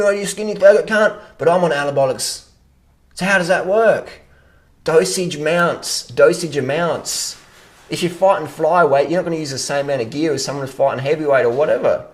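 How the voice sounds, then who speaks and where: A man in a casual, unscripted rant as an online commentator, blunt, opinionated and freely swearing, often repeating phrases for emphasis. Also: fairly high pitch. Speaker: online fitness commentator answering viewer comments.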